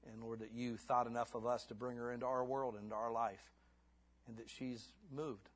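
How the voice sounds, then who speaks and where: A man in his fifties preaching a sermon in church. He swings between hushed, intimate lines and fervent, rising exhortation, then closes in prayer. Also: mid-range voice, level tone, moderate pace. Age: 50s. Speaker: pastor.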